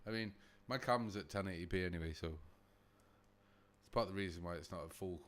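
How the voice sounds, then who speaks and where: Male streamer, low boomy voice, rambling and sweary, close-mic. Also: normal speed, fairly bright tone, slight background noise. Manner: rambling, profane, laughs often. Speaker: livestreamer reacting to videos. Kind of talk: casual live-stream chat. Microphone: desk mic close to face.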